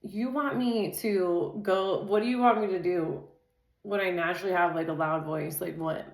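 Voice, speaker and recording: A woman doing a casual tarot reading, talking in a loose, rambling way full of "like" and "dude", with a naturally loud voice. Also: on the low side, steady tone, moderate pace, creaky, echoey room. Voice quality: says she has a naturally loud voice. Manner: chatty, loose, filler-heavy, says "dude" and "like" constantly. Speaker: tarot reader.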